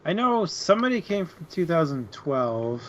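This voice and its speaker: A man's voice: fairly high pitch, very animated, moderate pace, fairly bright tone, highs cut off, slight background noise. A man co-hosting a casual book discussion, speaking informally and hesitantly as he searches for words. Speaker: book club podcast co-host.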